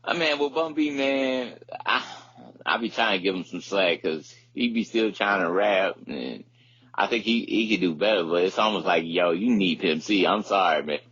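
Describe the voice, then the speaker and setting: A man's voice: deep voice, normal speed, a bit bright, husky. A man co-hosting a podcast in casual, slangy chat, agreeable and often repeating himself. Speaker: podcast co-host. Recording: dull, slightly lo-fi microphone sound.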